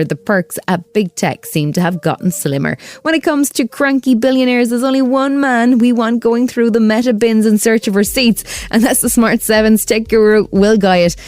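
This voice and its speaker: A woman's voice: fairly low pitch, darkish tone. A woman hosting a news podcast, reading scripted narration. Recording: clear music bed underneath. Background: music.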